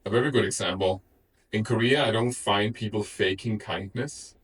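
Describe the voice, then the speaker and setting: A man's voice: fairly low pitch, moderate pace, a bit bright, husky, recorded from a distance, low-quality recording. A man from Denmark, an IT developer, speaking frankly and reflectively in a documentary interview.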